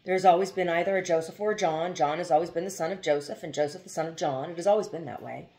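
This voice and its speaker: A woman voicing an earnest young rancher husband in a storybook, quiet and firm. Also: on the low side, fast, lightly acted. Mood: calm.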